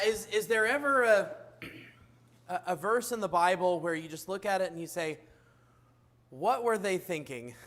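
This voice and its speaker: Hoarse high-voiced man preaching. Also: fairly bright tone. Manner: halting, frequent uh fillers and restarts. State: hoarse, rough voice from illness. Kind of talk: sermon. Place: church.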